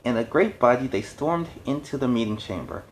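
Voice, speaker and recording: A man's voice: mid-range voice, lively intonation, fairly quick, soft background music, poor audio. A man reading a storybook aloud as host, adding conversational, explanatory asides in a casual style.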